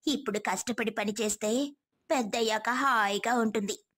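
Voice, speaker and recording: A woman's voice: fairly high pitch, fairly even intonation, moderate pace, fairly bright tone. A woman voicing a kind, reassuring motherly village woman in a moral story. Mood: caring, insistent.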